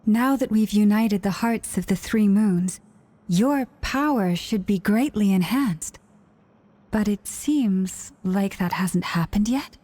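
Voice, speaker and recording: A woman voicing a courteous, earnest young moon priestess in a video game, with polite and gentle phrasing. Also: mid-range voice, takes it slowly. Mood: sincere, grateful. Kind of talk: English video game voice acting.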